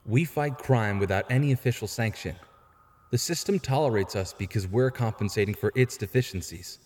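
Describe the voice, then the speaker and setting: An actor playing a frank, principled younger vigilante in an audio drama, earnest and articulate. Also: male voice, lowish voice, normal speed, a little mellow, close to an everyday voice. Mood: earnest argument. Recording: long hall reverb.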